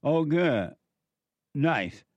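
A man's voice: on the high side, sing-song, drawn-out words, dry recording, dull audio. A man in his seventies hosting a call-in radio show, giving blunt, preachy advice to callers. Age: 70s.

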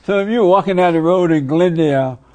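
A man's voice: high voice, quick pace, a little mellow. A man hosting a casual interview, asking blunt, plain questions and giving short affirmations. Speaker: interviewer, host.

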